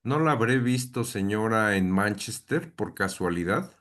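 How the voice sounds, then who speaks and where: A man reading the part of a formal, timid middle-class gentleman aloud from a play script, in a classroom reading. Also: lowish voice, expressive, a little slow. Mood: polite, puzzled.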